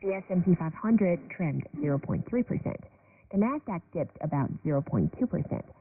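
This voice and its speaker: A woman's voice: lowish voice, big pitch swings, normal speed. A woman reading a scripted business news bulletin as a presenter, heard on shortwave radio. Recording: noisy, narrowband shortwave radio broadcast.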